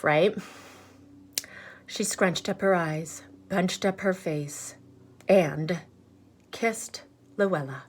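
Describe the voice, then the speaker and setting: A woman reads a children's story aloud at a slow, careful pace. She is expressive and teacherly, often stepping out of the text to chat with her listeners. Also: medium pitch. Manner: animated, teacherly, pauses for questions. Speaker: storyteller reading a picture book aloud.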